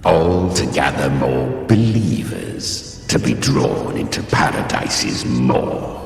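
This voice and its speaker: A gravelly, abyss-deep arrogant monster. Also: male voice, very animated, takes it slowly, exaggerated voice. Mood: mocking, scornful. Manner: theatrical, scornful, commanding. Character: contemptuous, arrogant ancient male monster of the underworld. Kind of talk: dramatic fantasy game acting. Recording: echoing hall reverb, distant, music underneath.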